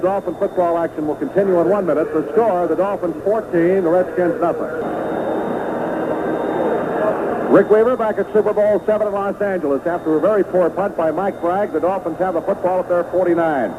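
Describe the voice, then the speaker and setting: A man doing live play-by-play football commentary for radio, describing the action fluently in clipped phrases. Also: sits high. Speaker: play-by-play sports announcer. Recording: vintage radio broadcast, narrow band, heavy noise.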